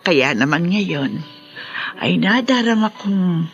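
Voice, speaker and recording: A repentant older mother in a sentimental radio drama. Her delivery is slow and heartfelt, rising and falling expressively. She sounds tender and deliberate in every phrase. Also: fairly low pitch, expressive, dull audio, soft background music. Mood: tearful gratitude.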